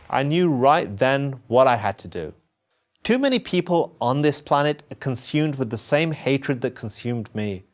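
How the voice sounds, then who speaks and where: A British man delivering a scripted personal testimony as narration, earnest and emphatic, with deliberate phrasing. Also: mid-range voice. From England.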